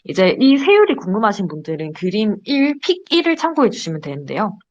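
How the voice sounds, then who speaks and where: A woman's voice: mid-range voice, big pitch swings, brisk, muffled recording. A young woman in her twenties giving a casual, chatty slide presentation to a study group. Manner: chatty, giggles between points.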